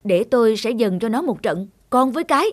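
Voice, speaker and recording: A woman's voice: a little high, expressive, fairly quick, breathy edge, faint music behind. Hot-tempered, scolding cartoon mother. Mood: angry. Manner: scolding, impatient. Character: hot-tempered, hurried young mother of a small boy. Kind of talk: cartoon dubbing.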